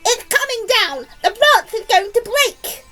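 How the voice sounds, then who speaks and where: A woman's voice: very high voice, expressive, unhurried, sharp tone, soft background music, pitched above the performer's own voice, full character voice. Woman playing a shrieking, gushing, greedy aunt. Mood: panicked. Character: greedy, excitable fat aunt, loud and gushing.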